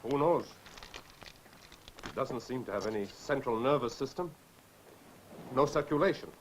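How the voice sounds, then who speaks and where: An actor in a 1960s film drama playing a driven, weary scientist with a dry, terse manner. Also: male voice, medium pitch, animated, normal speed, lightly acted. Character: driven, weary male scientist fighting to survive. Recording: noisy old 1960s film soundtrack.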